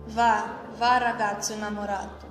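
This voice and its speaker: A woman's voice: mid-range voice, little pitch movement, unhurried, lightly acted. Woman acting sly fortune-teller in an echoey hall. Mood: reassuring. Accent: Brazilian. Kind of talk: amateur school radio drama acting. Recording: echoey hall, long reverb, music bed. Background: music.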